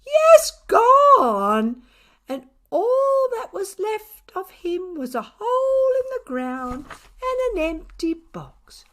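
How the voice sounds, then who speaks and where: A woman's voice: very high voice, very animated, slow pace, a bit bright, pitched above the performer's own voice, exaggerated voice. A woman voicing a chatty, wonder-struck woman neighbour with sing-song, emphatic gossip in a children's audiobook. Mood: astonished.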